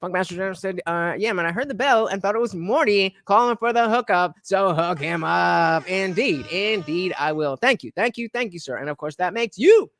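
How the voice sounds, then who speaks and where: A man's voice: sits high, animated, normal speed, few pauses, a bit bright. A man commentating on a podcast clip during a live stream, hesitant and full of fillers, with sardonic asides.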